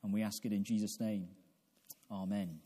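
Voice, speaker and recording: Mellow-voiced male preacher in his forties. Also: on the low side, steady tone. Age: 40s. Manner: deliberate, halting delivery with repeated phrases and rhetorical questions. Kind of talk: evangelical sermon with prayer.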